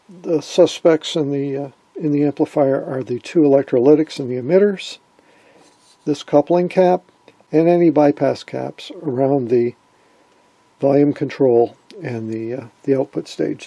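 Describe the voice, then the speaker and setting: A man with a husky voice giving a casual, hands-on technical commentary, thinking aloud as he works. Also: on the high side, mellow, no echo. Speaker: host of an electronics repair vlog.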